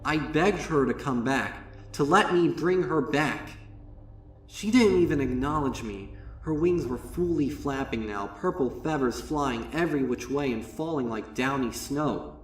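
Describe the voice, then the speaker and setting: A man voicing a young female pony student, haunted and guilt-ridden, recounting horror in a dramatic, deliberate way. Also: mid-range voice, animated, slight background noise, close to an everyday voice. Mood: tense. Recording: echoey, distant, music behind.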